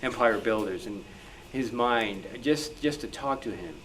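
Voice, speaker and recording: A man speaking off the cuff in a group discussion, haltingly searching for his words. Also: on the low side, fairly bright tone, slight background noise, poor audio. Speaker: club discussion participant. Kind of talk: casual, unscripted discussion.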